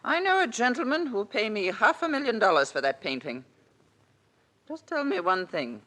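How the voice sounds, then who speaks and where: A hard-boiled middle-aged lady crook in a vintage radio thriller. She is blunt and commanding. Her delivery is sharp and animated, with big swings of pitch. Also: moderate pace, heavily acted. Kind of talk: old-time radio drama acting.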